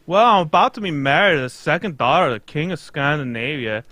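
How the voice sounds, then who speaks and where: An anxious, pompous king from abroad acted by a man. His voice sits fairly high and swings widely, close and dry, with stilted, article-dropping English in the style of old radio drama. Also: expressive, brisk, sharp tone, no room sound. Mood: anxious, formal. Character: anxious, pompous foreign king with a secret scandal. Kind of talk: old-time radio mystery acting, read live.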